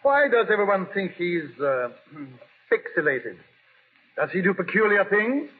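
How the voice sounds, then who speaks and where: A stern, high-toned radio judge. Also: male voice, big pitch swings, exaggerated voice. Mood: puzzled, weary. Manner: authoritative, measured, formal. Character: stern, exasperated but fair-minded courtroom judge. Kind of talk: 1930s American radio drama acting. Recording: narrow-band old radio broadcast.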